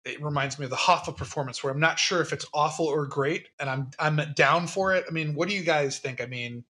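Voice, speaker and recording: A man co-hosting a casual film podcast, talking quickly, hedging his opinions and laughing often. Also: fairly high pitch, sharp tone. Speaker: podcast co-host.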